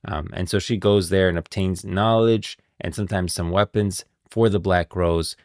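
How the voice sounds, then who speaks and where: A man's voice: deep voice, steady tone, normal speed, darkish tone, dry recording, studio quality. A man giving casual live stream commentary on game lore, musing and thinking aloud.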